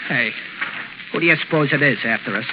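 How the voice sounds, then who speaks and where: A man playing a grumbling, easily spooked crook with rough slangy speech in a 1930s radio drama. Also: medium pitch, brisk, close to an everyday voice. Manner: rough slangy complaining, stammers when frightened. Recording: noisy, muffled 1930s radio broadcast.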